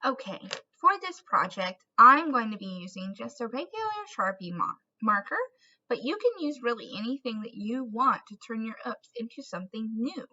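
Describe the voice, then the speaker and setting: A woman with a bright, fairly high voice guides children through a craft demonstration. She speaks as an encouraging teacher addressing young viewers directly. The recording is a little dull and lo-fi. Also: lively intonation, normal speed. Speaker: art teacher presenting a tutorial.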